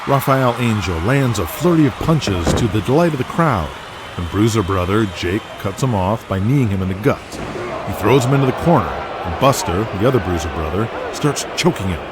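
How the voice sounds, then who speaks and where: A man's voice: on the low side, background noise. A man narrating a scripted audio drama as a dramatic storyteller. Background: music.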